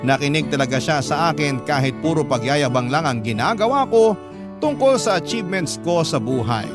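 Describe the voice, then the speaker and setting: A man's voice: on the high side, animated, normal speed. A man reading a scripted first-person love story as narrator, in a confessional storytelling way. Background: guitar music.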